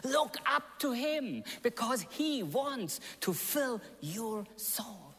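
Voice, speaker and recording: A man, born in Russia and raised in Germany, preaching a chapel sermon in animated storytelling that jumps from loud to soft and pauses to search for words. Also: very high voice, gravelly. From born in Russia, grew up in Germany. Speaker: seminary chapel speaker. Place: large chapel hall. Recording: long hall reverb.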